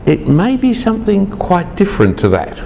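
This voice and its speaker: A man's voice: sits high, huge pitch swings, moderate pace, gravelly, darkish tone, a little hiss or hum. Man lecturing in an echoey hall. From Australia. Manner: chatty, teasing asides to the audience. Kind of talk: lecture to a live group.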